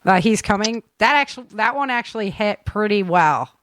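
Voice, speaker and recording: A woman's voice: medium pitch, animated, moderate pace, fairly bright tone, dry recording, studio quality. A woman hosting a podcast in casual, upbeat and informal chat. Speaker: podcast host.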